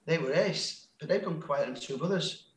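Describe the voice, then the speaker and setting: A man with a broad Sheffield accent talks in a loose, rambling way, restarting sentences and laughing at himself. His voice sits a little high for a man, and the room gives it a noticeable echo. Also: normal speed. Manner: rambling, self-deprecating, laughs a lot, many restarts. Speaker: guest, music label founder. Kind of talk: casual podcast chat.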